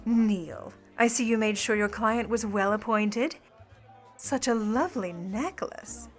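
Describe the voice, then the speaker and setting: Sly 1950 Hollywood starlet-thief , female actor, higher-pitched, condescending, syrup over steel, music underneath. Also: slow. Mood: gushing, barbed. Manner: arch, syrupy politeness. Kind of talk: 1950 noir radio-play acting.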